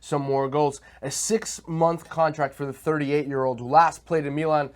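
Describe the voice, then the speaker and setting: A man's voice: fairly high pitch, fairly even intonation, normal speed. Guy co-hosting a sports show, talks stats and tactics, casual, bit of hesitating. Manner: analytical, stat-heavy, some hesitations. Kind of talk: casual sports talk and analysis.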